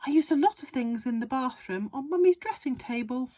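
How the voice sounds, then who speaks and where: A woman's voice: sits high, lively intonation, brisk, mellow, no room sound, pitched above the performer's own voice. A woman reading aloud and voicing a small boy who keeps trying to interrupt his father. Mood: pleading. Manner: pleading, hesitant. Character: small polite young boy.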